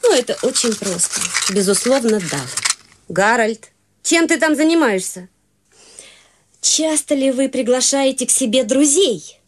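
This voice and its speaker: A domineering society mother in a radio drama, high-pitched and swooping, drawing out her words slowly. She talks down to everyone, and faint music sits behind her. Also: animated. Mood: bossy. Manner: brisk, condescending, overbearing. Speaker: actress in a radio play.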